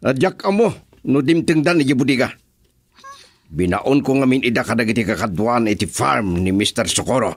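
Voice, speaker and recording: An actor playing a gruff boss and father in a radio drama, irritated and complaining. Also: mid-range voice, expressive, moderate pace, no room sound. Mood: annoyed.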